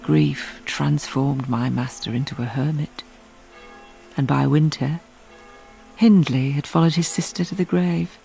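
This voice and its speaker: An actress playing a sensible, compassionate housekeeper who narrates a radio drama in a low, grave, measured voice. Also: female voice, steady tone, vocal fry, warm tone, soft background music, poor audio.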